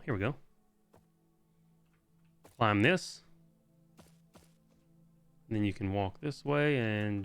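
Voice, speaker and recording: A man's voice: on the low side, a bit bright, clear, resonant tone. A man hosting a video game guide, giving casual, informal walkthrough commentary with the odd swear word.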